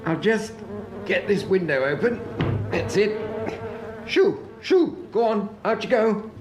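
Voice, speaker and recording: An actor playing a middle-aged put-upon husband in a British radio drama, earnest and exasperated, with a British accent. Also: male voice, sits high, expressive, slow, noisy background. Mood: anxious. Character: put-upon beekeeping husband, recounting events with grievance. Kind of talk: radio drama acting and first-person narration.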